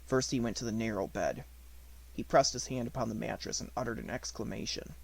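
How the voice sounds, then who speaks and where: A man's voice: medium pitch, a little fast, gravelly, husky, poor audio. A man reading a classic children's novel aloud as scripted audiobook narration. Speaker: audiobook reader.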